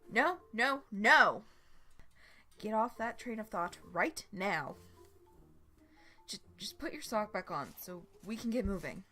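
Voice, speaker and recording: A woman's voice: medium pitch, expressive, slow pace, long pauses, pushed and bright, soft background music, heavily acted. Woman acting prim, pompous, easily flustered young man. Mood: flustered, indignant. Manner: pompous, stammering when flustered. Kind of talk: animated storybook character reading.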